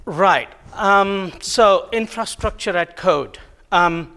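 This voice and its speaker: Man presenting, Hindi-native, gravelly voice. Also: high voice, pushed and bright. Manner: halting, many ums and self-corrections, light self-deprecating humor. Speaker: conference presenter. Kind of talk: technical conference talk. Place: conference room.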